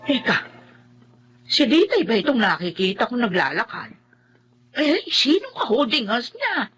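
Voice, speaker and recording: A woman playing a nagging, peacemaking middle-aged aunt with a husky voice, scolding in a Filipino drama. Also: a little high, very animated, measured pace, a bit bright. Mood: suspicious. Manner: scolding, exclamatory. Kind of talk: Filipino radio-style drama acting.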